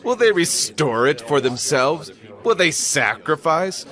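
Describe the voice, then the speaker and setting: Man acting a sharp, sneering ancient ruler. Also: a little high, sing-song, a little slow. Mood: scornful mockery. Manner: mocking, rhetorical taunting. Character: angry, scornful male regional ruler jeering at builders. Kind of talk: dramatized audio Bible.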